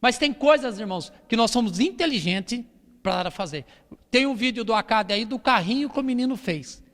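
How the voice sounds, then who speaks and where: A man's voice: very high-pitched, expressive, normal speed, fairly bright tone. A man with a Brazilian Portuguese accent preaching a church sermon, exhorting with repetition and rhetorical questions. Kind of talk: church sermon with Bible reading. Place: church hall during a service. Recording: long hall reverb.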